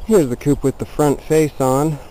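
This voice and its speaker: A man's voice: a little high, steady tone, a little slow, mellow, slight background noise, low-quality recording. A plainspoken man giving a casual, explanatory walkthrough of something he built, talking from behind a handheld camera outdoors. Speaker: DIY builder showing a chicken coop. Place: outdoors in a yard beside the coop. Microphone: handheld camera mic, speaker behind the camera.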